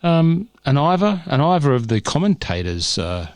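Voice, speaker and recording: A man's voice: a little high, big pitch swings, normal speed, no room sound. A man co-hosting a podcast from home, chatty and joking in a casual, upbeat way. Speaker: podcast co-host. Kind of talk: casual chat. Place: home, cold winter day. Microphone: remote call recording.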